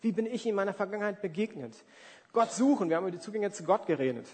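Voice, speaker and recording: A man's voice: high voice, animated, rapid-fire, darkish tone. A man in his forties preaching in a conversational, emphatic way, with everyday examples and rhetorical questions. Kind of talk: sermon with everyday examples and acted-out asides. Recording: reverberant hall.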